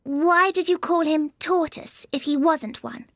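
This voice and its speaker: A man voicing a polite, curious young girl in a very high falsetto. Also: a little slow, fairly bright tone, no room sound, studio quality, full character voice. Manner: prim, earnest questioning.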